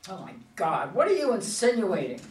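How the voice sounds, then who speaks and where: A woman's voice: mid-range voice, wildly swooping pitch, moderate pace. A woman playing a proud, combative older female politician in a play reading, with an indignant, emphatic delivery. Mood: offended. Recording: echoey room, far from mic.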